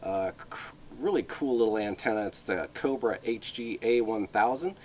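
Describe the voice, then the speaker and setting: A man giving a casual, unscripted product overview in a halting, conversational way with plenty of filler words. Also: lowish voice, expressive, darkish tone, slight background noise. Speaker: product reviewer.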